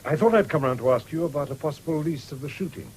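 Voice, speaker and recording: An actor playing a polite, gentlemanly English country doctor in a radio drama. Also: male voice, fairly high pitch, fast, lightly acted. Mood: courteous. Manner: polite, smooth-mannered, gentlemanly. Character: courteous, genial country doctor with a shooting interest. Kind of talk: 1970s British radio drama acting. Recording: noisy old radio broadcast recording.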